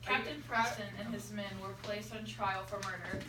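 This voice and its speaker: A woman's voice: medium pitch, steady tone, moderate pace. A young teenage girl reading scripted narration aloud for a school play, recorded in a classroom. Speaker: student narrator. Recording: echoey classroom, far from mic, noisy.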